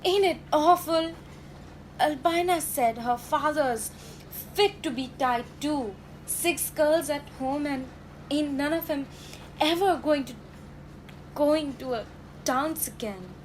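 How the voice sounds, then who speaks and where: A teenage girl plays a gossipy, sentimental factory girl in a radio play. She speaks high and slowly, with folksy dramatic phrasing and faint noise behind. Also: low-quality recording. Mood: hushed, earnest. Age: teenage high school student.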